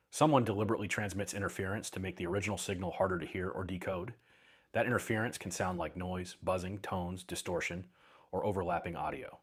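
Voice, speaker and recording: Male narrator, deep pitch, slightly rough husky tone, scripted explainer, measured and deliberate, emphatic short phrases. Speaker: narrator of an intelligence and radio technology explainer.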